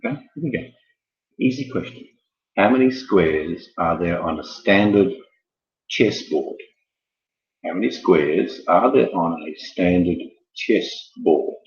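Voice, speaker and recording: A man with a low voice hosts an informal quiz. He rambles and jokes, building things up theatrically. The recording is dull and lo-fi, with clear room echo. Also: animated, slow. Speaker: quiz host. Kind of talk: informal live online quiz hosting.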